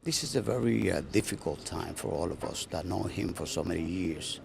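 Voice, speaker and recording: A man giving an unscripted news interview, with halting phrasing. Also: low-pitched, creaky, background noise. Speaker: interviewee, friend of the deceased. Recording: crowd chatter behind.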